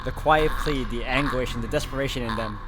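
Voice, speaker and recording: A man's voice: mid-range voice, a little fast, very noisy. A man reading a visual novel's narration aloud in a dramatic storytelling style. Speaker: game walkthrough player reading narration aloud. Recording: loud music behind the voice. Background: loud game music.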